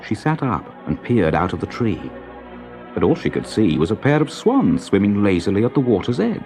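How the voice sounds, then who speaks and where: A man narrating a children's story in a measured, dramatic, expressive manner. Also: lowish voice, faint music behind.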